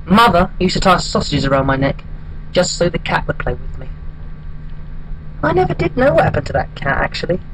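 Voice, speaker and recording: Casting: actor as hapless wistful bachelor, high male voice, deadpan and halting comic monologue, noisy homemade recording. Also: full character voice. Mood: deadpan, rueful. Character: hapless, wistful single man telling his odd life story.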